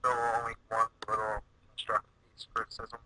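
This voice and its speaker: A man's voice: fairly low pitch, monotone, brisk, bright, forceful tone, slight background noise, low-quality recording. A young adult man in casual chat on a voice call, commenting as a listener.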